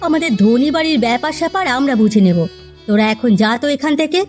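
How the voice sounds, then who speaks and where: A woman's voice: high-pitched, animated, quick pace, a little mellow, faint music behind. A woman playing a proud rich housewife fallen on hard times in a melodramatic cartoon tale, plaintive and dramatic. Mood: haughty, scornful.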